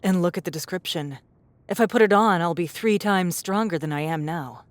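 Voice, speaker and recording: A woman's voice: on the low side, moderate pace, no echo, close to an everyday voice. A woman playing a tall, confident, pragmatic young adventurer in a dramatised science fiction audiobook, speaking in a matter-of-fact, dryly assured way. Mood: brisk, upbeat.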